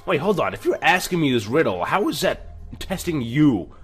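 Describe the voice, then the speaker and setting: A man's voice: on the high side, sing-song, normal speed, fairly bright tone, husky, soft background music. A man hosting a let's play, casually reading game text aloud with some stumbles and commenting on it. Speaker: gaming video host.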